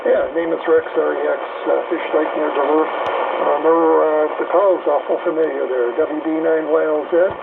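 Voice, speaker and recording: A man's voice: fairly high pitch, fairly even intonation, normal speed, creaky. An American man chatting casually as a ham radio operator, rambling and repeating phrases, heard through an AM radio transmission. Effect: AM shortwave radio transmission. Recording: narrow-band AM radio, loud static noise. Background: loud background radio noise.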